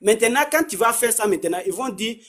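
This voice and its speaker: A man explaining his faith in a casual conversation, halting and repeating himself often. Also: sits high, fairly bright tone, husky. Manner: halting, repeats words, many restarts.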